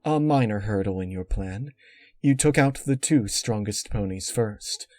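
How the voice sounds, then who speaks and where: A man voicing a regal female pony ruler, a calmly sinister mentor with a measured, formal manner, in a dark story reading. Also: lowish voice, no echo. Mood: cool, probing.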